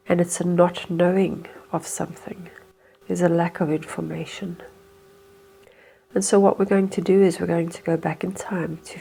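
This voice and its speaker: A woman leading a slow, hypnotic guided meditation in a soothing, hushed manner with unhurried phrasing. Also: fairly low pitch, gravelly, low-quality recording.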